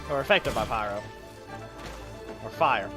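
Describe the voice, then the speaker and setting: A male streamer talks through his game in a casual, rambling way. His voice sits at a middling pitch, and game music plays clearly behind him as he thinks aloud. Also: a little slow. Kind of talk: casual live gameplay commentary.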